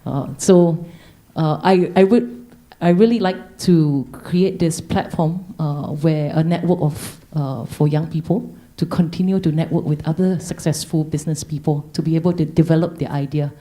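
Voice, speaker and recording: A woman's voice: sits low, fairly even intonation, moderate pace, dark tone. A businesswoman speaking on a panel in a hesitant, unscripted way, full of fillers and restarts.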